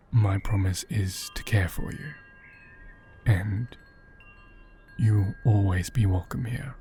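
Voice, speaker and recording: A man playing a gentle, protective caretaker in a soothing ASMR roleplay, speaking softly and tenderly and often slipping into a breathy whisper. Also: fairly low pitch, a little hiss or hum. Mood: tender, solemn. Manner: tender, slow, soothing, reassuring. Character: gentle, protective male caretaker comforting a hurt doll. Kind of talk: soft-spoken ASMR roleplay, partly whispered.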